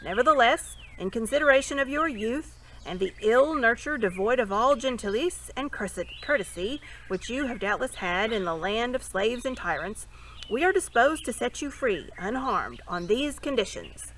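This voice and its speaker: A woman's voice: on the high side, animated, a bit bright, slight background noise, pitched above the performer's own voice. A woman voicing a dignified, hearty king in a storybook, speaking in a formal, courteous and firm way. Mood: stately. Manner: stately, formal, measured proclamation.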